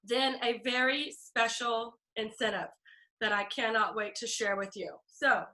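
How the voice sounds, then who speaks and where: A woman hosting a video call for her team, upbeat and chatty, with a stuffy, scratchy throat and frequent throat clearing. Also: fairly high pitch, fairly even intonation, measured pace, bright, forceful tone. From Texas.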